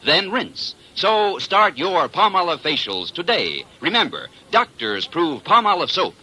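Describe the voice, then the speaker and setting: A man's voice: fairly high pitch, sing-song, unhurried, bright, forceful tone. A man with an American accent reading an emphatic, scripted vintage radio advertisement. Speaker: commercial announcer. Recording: slightly noisy vintage radio recording.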